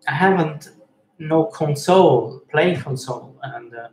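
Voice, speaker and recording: Halting man in an echoey room. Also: on the high side, a little mellow. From Switzerland. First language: Swiss German and Italian. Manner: halting, many fillers and restarts, reads chat aloud. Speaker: live-stream host reading chat. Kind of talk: casual live-stream chat. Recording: echoey room with faint noise.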